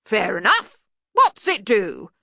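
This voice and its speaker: A woman performs a bluff older male wizard in charge, from a comic fantasy audiobook. He blusters loudly and bluntly, missing the point. The delivery is forceful and swoops widely, in a dry recording. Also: medium pitch, wildly swooping pitch, slow, creaky, no room sound, heavily acted. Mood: blustering, hearty. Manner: blunt, bellowing, obtuse. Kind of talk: acted audiobook dialogue.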